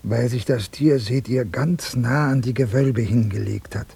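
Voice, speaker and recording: An actor playing a dutiful, practical castle watchman in a radio play, with a husky, gravelly voice. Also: medium pitch, a little slow, warm tone, full character voice. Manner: matter-of-fact, explanatory.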